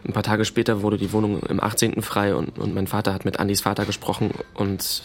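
A man's voice: on the low side, little pitch movement, brisk, slight background noise, close to an everyday voice. An actor playing a sullen, insecure teenage boy in a naturalistic radio drama, speaking in a hesitant, colloquial way. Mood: tense recounting. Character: sullen, insecure fourteen-year-old boy, younger brother of a soldier.

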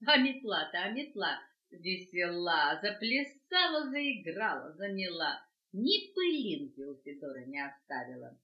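An older woman, a grandmother, reading a children's verse tale aloud with lively, rhythmic delivery, recorded at home on cassette. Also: on the low side, a little slow. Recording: dull echoey home cassette.

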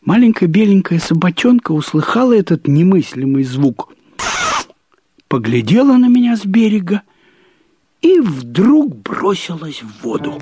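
A man narrating a first-person literary short story in a deliberate, wryly humorous manner, with measured pauses and repetitions. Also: sits high, very animated, mellow.

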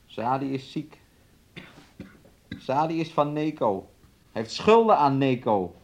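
A man's voice: mid-range voice, very animated, takes it slowly. An actor in a Dutch radio drama playing a volatile, taunting working-class man who swings between scorn and coaxing. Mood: taunting, possessive.

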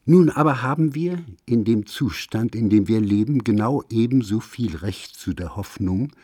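A man reading a literary essay in a measured, grave, declamatory manner, like a solemn radio recitation. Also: lowish voice, animated, darkish tone, slightly rough, no echo, clean studio sound.

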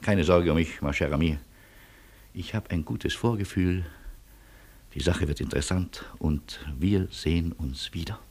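An actor in a German radio play playing a courteous, wry refugee man, husky, speaking in polite, ironic and wordy turns. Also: low voice, measured pace, a little mellow. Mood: resigned, wry.

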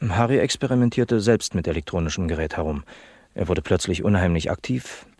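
A man's voice: deep voice, brisk, a little mellow, no room sound, studio quality. An actor playing an earnest, literal-minded young male office apprentice in a satirical radio play, matter-of-fact and officious. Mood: earnest, reporting. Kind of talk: satirical radio drama acting as an interviewee.

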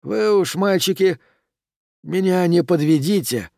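A man's voice: sits high, a little slow, vocal fry, darkish tone, dry recording, studio quality, pitched above the performer's own voice. Man playing a stern dying old man. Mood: earnest. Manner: barks curt orders, then prays through pain.

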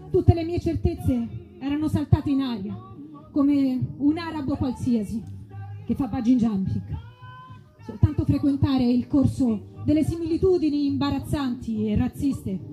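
A very high-voiced comedienne playing a fervent woman preacher, exalted and theatrical. She declaims and repeats her phrases like a stage sermon, with music playing underneath. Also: dark tone, poor audio. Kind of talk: live comic stage monologue with sung salutations.

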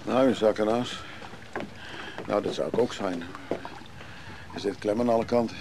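An actor playing a gruff, guarded man who lives on a leaky boat, speaking colloquially in a naturalistic radio drama. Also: fairly low pitch, moderate pace, background noise, natural voice. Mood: grumbling.

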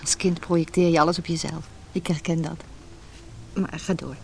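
A rather low-voiced woman in a radio play, earnest and vulnerable. She is a married woman torn by forbidden love. Faint noise sits on the recording. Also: fairly even intonation, moderate pace, creaky. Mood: gentle, wistful. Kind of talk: Dutch radio drama acting.

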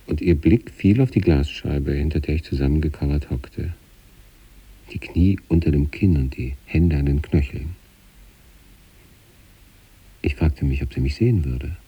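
An introspective male patient recounting uncanny experiences. His voice is very deep and dark, with a husky grain. He narrates in a measured, deliberate literary radio manner. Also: muffled recording, heavily acted.

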